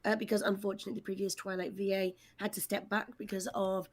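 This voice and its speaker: A woman with a mid-pitched voice speaks as a panelist in a casual online group call. Her manner is chatty, with teasing banter among fellow voice actors. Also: flat delivery, fast.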